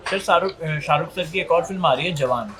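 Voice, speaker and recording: A man's voice: fairly high pitch, brisk, a crowd in the background. An Indian man conducting a casual interview, putting questions deferentially and often addressing his guest as sir.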